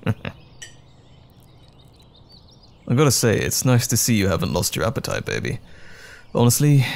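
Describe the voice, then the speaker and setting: A man playing a loving, caring boyfriend in an intimate comfort audio roleplay, speaking gently and soothingly with affectionate teasing. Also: on the low side, gravelly, exaggerated voice. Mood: cheerful, teasing, then gently concerned.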